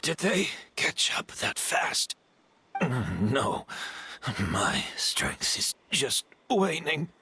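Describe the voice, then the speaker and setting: An actress playing a weary, weakened fighter in a video game, her voice breathy and whispery. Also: low voice, very animated, very slow, sharp tone, a little hiss or hum, heavily acted. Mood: weak, resigned.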